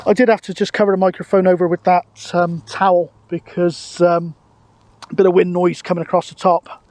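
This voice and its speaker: A man's voice: high-pitched, level tone, brisk, a little mellow, no room sound. A British man chatting casually outdoors in an easygoing, colloquial way. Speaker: vlogger and CB radio operator. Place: outdoors on a grassy hilltop.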